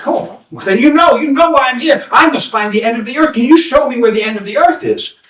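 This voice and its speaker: A man's voice: very high-pitched, lively intonation, fairly quick, a bit bright, reverberant, far from the mic, pitched above the performer's own voice. A man voicing an earnest, restless young man on a quest, pleading as he talks. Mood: eager.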